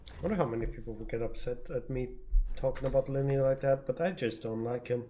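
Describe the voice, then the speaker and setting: A man's voice: medium pitch, fast talker, mellow, a little hiss or hum. A man streaming a game with casual, sarcastic commentary, muttering asides and swearing. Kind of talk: casual live gameplay commentary. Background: faint game music.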